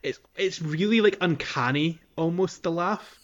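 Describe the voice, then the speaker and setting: A man's voice: on the high side, measured pace, lo-fi sound. A man co-hosting a podcast in informal, sweary, casual conversation. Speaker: podcast co-host. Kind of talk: casual chat.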